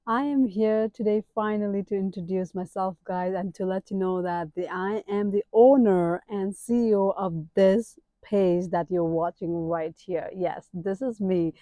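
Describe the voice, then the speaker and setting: A woman's voice: medium pitch, steady tone, a little slow, few pauses, mellow, dry recording, low-quality recording. A woman in her thirties with a Nepali accent, chatting casually to viewers in a self-introduction vlog, addressing them directly with emphatic asides. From Kathmandu, Nepal. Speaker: page owner and digital entrepreneur.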